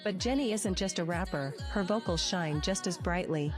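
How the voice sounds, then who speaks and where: A woman narrates from a script in documentary fashion. Her voice sits a little low, and music runs clearly behind her. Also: moderate pace. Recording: music under the voice. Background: background music.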